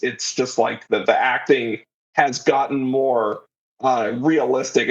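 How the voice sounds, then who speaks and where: A man's voice: medium pitch, lo-fi sound. A man speaking casually as a podcast guest, a writer chatting about his creative work and slipping in quoted asides. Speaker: interview guest, writer and audio drama creator.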